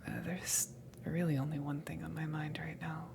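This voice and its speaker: A woman playing a flustered, self-conscious alien crewmember in an ASMR roleplay, breathy and stammering, smitten with the listener. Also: sits low, level tone, noisy background. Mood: flustered, hesitant. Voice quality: breathy, creaky, often whispered. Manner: hesitant, stammering, slow, awkward.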